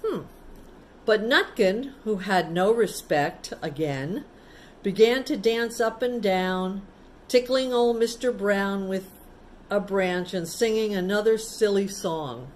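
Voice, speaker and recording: Slow-paced woman reading a children's story. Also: medium pitch, a little mellow, a little hiss or hum, rough recording. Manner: simple explanatory, child-directed. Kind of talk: picture-book read-aloud with casual asides to children.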